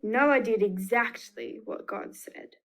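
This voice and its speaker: A woman's voice: mid-range voice, takes it slowly, creaky, a bit bright, a little hiss or hum. A woman with an Australian accent reading a picture book to young children, expressively, with emphatic repetition. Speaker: children's storyteller.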